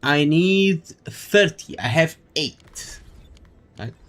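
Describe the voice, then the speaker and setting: A man's voice: on the high side, expressive, extremely slow, a bit bright. A man streaming a game, chatting casually and rambling as he thinks aloud. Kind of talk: casual live-stream chat while playing. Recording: game music behind the voice.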